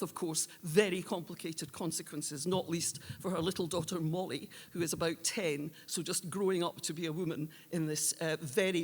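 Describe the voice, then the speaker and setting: A woman presenting awards in an unscripted, halting, long-winded and earnest way. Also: on the low side. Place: indoor awards hall. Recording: reverberant hall.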